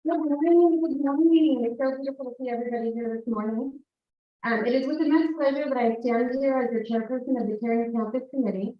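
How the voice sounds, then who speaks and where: A woman's voice: high voice, fast talker. A woman who chairs a committee, giving a formal, welcoming speech to an audience. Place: large hall with an audience. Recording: echoey hall, distant mic, dull sound.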